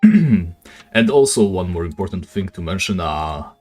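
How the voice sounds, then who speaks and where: A man streaming a game and chatting casually with viewers, speaking English as a second language, with a deep, raspy voice and a relaxed, rambling manner full of fillers. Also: a little slow, soft background music. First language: not English. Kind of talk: casual live-stream chat while gaming.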